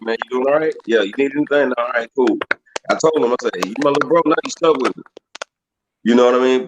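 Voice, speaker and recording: A man's voice: mid-range voice, muffled recording. A man, a guest on a talk stream, speaking like a drill instructor about training and leadership, and listing training terms. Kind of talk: casual chat. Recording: noisy call audio.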